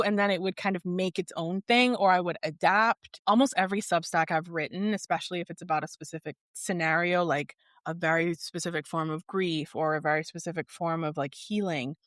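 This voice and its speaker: A woman's voice: lowish voice, normal speed, few pauses, fairly bright tone, no room sound, studio quality. A woman from Barbados in her thirties, a therapist guest on a podcast, speaking thoughtfully and articulately with lots of "like". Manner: articulate, thoughtful, heavy use of "like". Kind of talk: reflective conversational interview.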